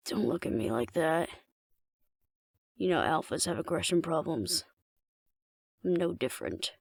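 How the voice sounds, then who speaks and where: Woman playing a flirty, gravelly alpha werewolf woman. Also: low voice, no echo, studio quality, heavily acted. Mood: firm, teasing. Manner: teasing, coaxing, playfully domineering. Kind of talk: intimate scripted audio roleplay addressed to the listener.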